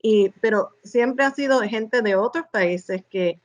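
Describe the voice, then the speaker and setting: A woman from New York with a Puerto Rican accent, a podcast guest, speaking reflectively and mixing in English words. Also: on the high side. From New York, United States. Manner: unhurried, reflective, mixes in English. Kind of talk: personal conversation.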